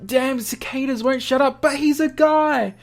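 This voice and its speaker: A woman's voice: high-pitched, a little slow. Chatty woman reading aloud over game music. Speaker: gaming video host. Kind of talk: casual let's play, reading visual novel text aloud with side comments. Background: video game music.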